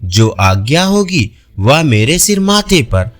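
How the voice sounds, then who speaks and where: A man's voice: a little high, big pitch swings, slow. A man voicing a modest, grateful young officer in a storybook, speaking deferentially. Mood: humble. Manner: humble, deferential.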